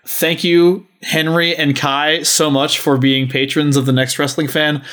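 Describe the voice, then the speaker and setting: A man's voice: on the high side, level tone, a little slow, a bit bright. A man hosting a podcast, chatting casually and making announcements. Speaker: podcast host.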